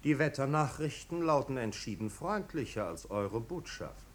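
An actor playing a snobbish, amused aristocrat with a languid, ironic manner in a 1950s German radio drama. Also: male voice, animated, moderate pace, lightly acted. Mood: ironic, defiant. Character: snobbish, amused aristocratic marquis, blasé and mocking. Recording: old radio recording, rumbling background noise.

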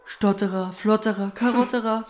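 A woman's voice: mid-range voice, steady tone, normal speed, darkish tone, natural voice. A woman voicing a cheeky, self-confident schoolboy in a children's audio drama, teasing with playful wordplay.

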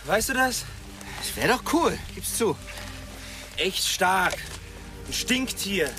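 An actor playing a goofy, excitable, stoned teenage boy with slangy speech in a radio play. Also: high voice, takes it slowly, over music, full character voice. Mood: giddy. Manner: slangy, youthful. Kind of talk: naturalistic radio play dialogue.

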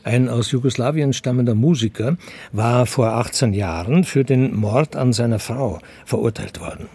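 An actor narrating a crime story in a scripted radio drama. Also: male voice, mid-range voice, normal speed, dark tone, breathy edge, dry recording. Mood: measured narrating.

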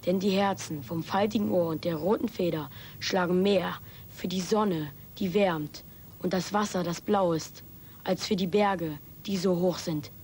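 A woman's voice: on the low side, a little slow, darkish tone, a little hiss or hum, lightly acted. A woman playing a child who pretends to be a wise chief, speaking in a solemn, mock-grand, ceremonial manner in an audio drama. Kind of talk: children's radio play acting.

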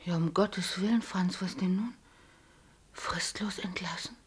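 A woman's voice: lowish voice, unhurried, breathy edge. A woman playing a worried, practical working man's wife in a German radio play, earnest, urgent and pleading. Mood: dismayed.

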